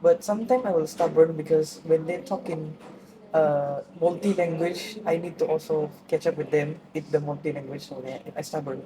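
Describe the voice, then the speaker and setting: A man's voice: fairly high pitch, steady tone, moderate pace, a crowd in the background, poor audio. Hesitant young Malaysian man student. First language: Tamil. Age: young adult. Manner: hesitant, many fillers and restarts. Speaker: interviewee, student. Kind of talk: casual interview answers.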